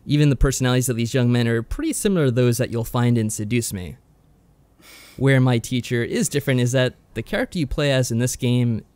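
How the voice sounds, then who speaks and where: A man's voice: mid-range voice, darkish tone, no room sound. A man co-hosting a podcast, casually summarising and reviewing games in a dry, understated way. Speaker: podcast co-host.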